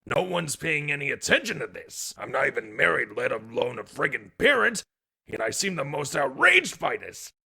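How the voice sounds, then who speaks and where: An actor playing a gruff, cynical, hot-tempered male police detective in a comic dub, raspy, blunt and grumbling. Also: medium pitch, big pitch swings, normal speed, pushed and bright, exaggerated voice. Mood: frustrated. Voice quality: gravelly, raspy.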